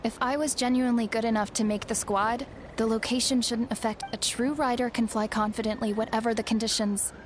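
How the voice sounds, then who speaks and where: An actress as a young female trainee in a video game, with a fairly high voice. She sounds resigned and full of self-doubt, hesitating as she talks, with noticeable noise behind her. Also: steady tone, brisk, lightly acted. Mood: resigned, downcast. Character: discouraged, self-doubting young woman, a failed flying trainee.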